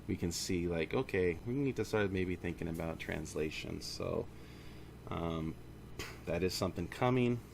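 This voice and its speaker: Low-voiced, gravelly man giving a casual update. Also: moderate pace, noisy background. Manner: informal, rambling, self-correcting. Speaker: indie game studio founder. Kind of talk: casual monthly studio update to camera or mic.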